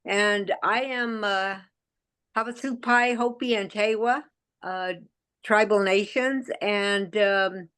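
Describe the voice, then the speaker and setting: Woman from the US introducing herself on a video call, smooth clear voice, slow and friendly. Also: mid-range voice, fairly even intonation, a bit bright. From United States. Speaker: webinar speaker. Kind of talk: self-introduction.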